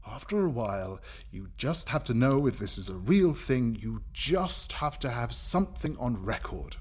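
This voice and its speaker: An actor playing an anguished man haunted by his memories in an audio drama monologue, halting and dramatic, often correcting himself. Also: mid-range voice, slightly rough. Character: anguished man recalling witnessed child experiments.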